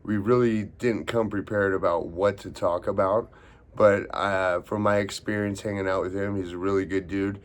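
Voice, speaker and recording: Man, low-pitched voice, casual interviewer, slangy, unscripted, from Texas. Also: steady tone, normal speed, slight background noise. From Texas, United States. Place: inside a private house.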